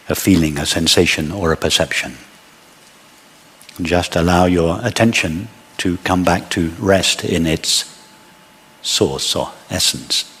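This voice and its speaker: A man leading a guided meditation as a teacher, speaking in measured short phrases with long pauses. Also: low voice, fairly bright tone, husky, long reverb tail.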